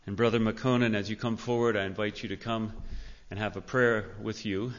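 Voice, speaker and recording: A man speaking as a pastor to his congregation, earnest and measured, in the style of a church address. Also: lowish voice, steady tone. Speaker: pastor introducing a guest speaker. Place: large indoor church sanctuary. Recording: reverberant church hall, dull sound.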